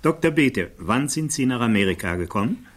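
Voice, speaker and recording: An actor playing a formal, precise attorney who questions a witness in a German radio play. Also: male voice, medium pitch, lively intonation, brisk, lightly acted. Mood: formal, probing. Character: probing male defense attorney questioning a witness.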